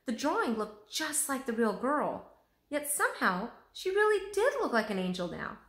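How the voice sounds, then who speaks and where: A woman narrates a children's story expressively. Her voice is bright, with plenty of movement in pitch. The sound is roomy and echoing. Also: sing-song, moderate pace. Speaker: teacher reading aloud.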